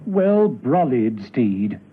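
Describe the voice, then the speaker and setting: A man's voice: mid-range voice, very animated, extremely slow, loud music behind the voice. A man giving dramatic scripted narration for a vintage spy radio serial. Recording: tinny, narrow old radio recording, faint noise.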